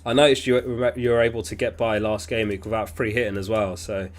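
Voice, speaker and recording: Man, fairly low pitch, sniffly, clears throat often, relaxed chatty stream talk. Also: fairly quick, soft background music. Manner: relaxed, chatty, thinks aloud with fillers. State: sniffly, getting over an illness. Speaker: fantasy football livestream host. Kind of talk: casual livestream chat reading viewer messages.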